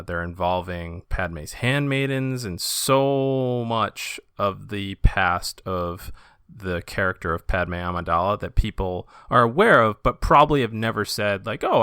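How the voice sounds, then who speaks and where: Male host, casual chat delivery, forward bright tone, smooth and clear, dry close recording. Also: sits low, no echo. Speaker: podcast host reviewing comics.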